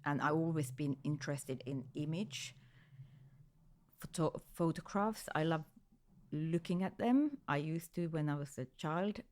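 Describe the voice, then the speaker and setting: A woman from Finland in her forties with a Finnish accent, answering interview questions hesitantly, with many pauses and restarts. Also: deep voice, lively intonation, darkish tone. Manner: hesitant, many ums and restarts, light laughs. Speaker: guest, music photographer.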